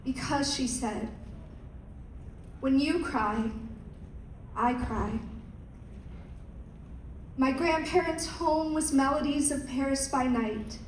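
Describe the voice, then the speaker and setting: A young woman, a pageant contestant, reciting a rehearsed speech in a slow, measured way. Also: sits high, a little hiss or hum. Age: young adult. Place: large hall. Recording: echoey hall from far off.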